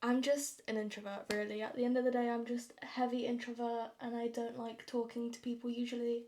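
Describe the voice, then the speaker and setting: A young woman of nineteen from London speaks with a southern English accent in a fairly high voice. She talks to her viewers like a vlogger, informal and chatty, with a self-deprecating way about her. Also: flat delivery, a little fast, mellow. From London, England. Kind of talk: casual get-ready-with-me chat to viewers.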